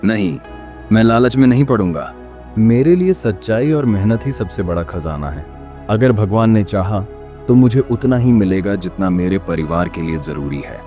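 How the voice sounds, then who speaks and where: A determined, honest village blacksmith from a moral cartoon, voiced by a man. His voice is very low and his delivery earnest and dramatic. Background music runs underneath. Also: lively intonation, normal speed, dark tone, close to an everyday voice. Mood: resolute. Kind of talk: Hindi moral cartoon story.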